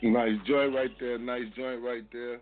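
A male radio host asks questions in a relaxed, slangy way, dropping "no doubt" and "you know what I'm saying". His voice sits at an ordinary middle pitch. The sound is narrow and tinny, like a phone call. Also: measured pace, over music. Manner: easygoing, slangy, repeats "no doubt". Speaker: radio interviewer. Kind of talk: casual hip-hop radio interview.

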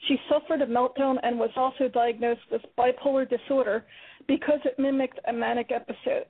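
A woman playwright reading the foreword to her play in a measured, earnest way. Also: high-pitched, fairly even intonation, slightly rough. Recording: tinny phone-line radio audio.

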